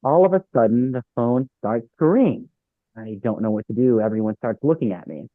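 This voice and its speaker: A man playing a droll, deadpan young office worker in a sitcom script read. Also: mid-range voice, normal speed, no room sound, close to an everyday voice. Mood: dry storytelling. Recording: thin, phone-like, dry sound.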